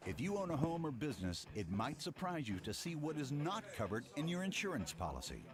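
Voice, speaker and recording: A man reading a scripted commercial voice-over in a persuasive, measured way. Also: a little high, huge pitch swings. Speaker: ad narrator. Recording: music bed behind.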